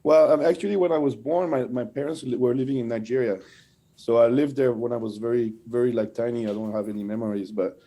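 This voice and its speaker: A man with a French accent speaks in a mellow, relaxed voice at a medium pitch. He is an interview guest, recounting his experiences in loose, conversational English. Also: fast talker. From France and French-speaking Switzerland. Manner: conversational storytelling with frequent "like". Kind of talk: casual interview chat.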